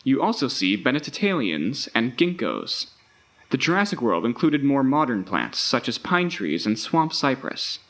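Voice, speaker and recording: A man's voice: medium pitch, very animated, moderate pace, fairly bright tone. A man playing the recorded guide of a dinosaur park tour, giving scripted narration in a grand, instructive, theatrical announcer style. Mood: grand, informative.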